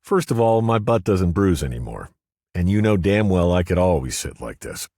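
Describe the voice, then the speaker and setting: A sharp-tongued ghostly woman, once a gym teacher, voiced by a man in an audiobook. Her voice sits well above the reader's own, husky and swinging in pitch as she teases. The read is dry and close. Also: very animated, no echo. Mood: teasing. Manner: teasing, quick, sharp-tongued. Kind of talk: audiobook character voice.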